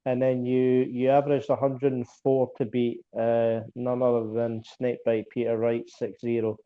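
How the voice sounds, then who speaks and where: A man's voice: mid-range voice, monotone, normal speed, narrow, tinny audio. A Scottish man hosting a darts podcast interview, asking questions in a stumbling, casual way with repeated words. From Scotland. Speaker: podcast host.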